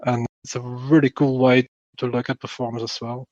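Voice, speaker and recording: A man's voice: medium pitch, level tone, normal speed, darkish tone, no echo. A man with a Flemish Belgian accent explains his field as an interview guest. He leans on key phrases by repeating them. The recording is dry and slightly dull. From Belgium, Flanders. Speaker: podcast guest, performance testing engineer.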